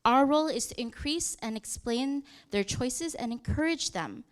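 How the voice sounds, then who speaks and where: A woman in her thirties gives a conference presentation, pitched a bit higher than most women. She talks conversationally, often pausing with fillers and correcting herself mid-sentence. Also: lively intonation. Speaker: youth program presenter. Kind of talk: conference presentation walking through slides.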